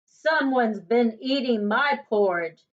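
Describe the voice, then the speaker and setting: A woman voicing a great big, indignant male bear with a rough, gruff voice in a children's storybook. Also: fairly high pitch, lively intonation, takes it slowly. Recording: dull, lo-fi recording.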